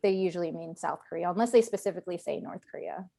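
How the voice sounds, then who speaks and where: A woman's voice: lowish voice, fast talker, creaky, mellow, no echo. A woman veteran speaking on a video call in a casual webinar panel, chatty with many fillers and restarts. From Northern Virginia, USA.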